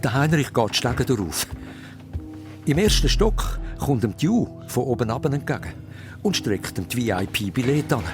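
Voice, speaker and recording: A man's voice: medium pitch, lively intonation, moderate pace, music in the background. A man with a Swiss accent narrating a children's radio play as a suspenseful story.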